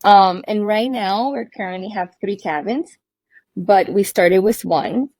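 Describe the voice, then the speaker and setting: A woman's voice: medium pitch, unhurried, a little mellow, dry recording. Woman from cuba chatting as a guest, laughs a lot, mid voice, dry close sound. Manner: chatty, laughs often, restarts sentences. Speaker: guest, cabin rental owner. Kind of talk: casual conversational interview. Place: inside a cabin under renovation.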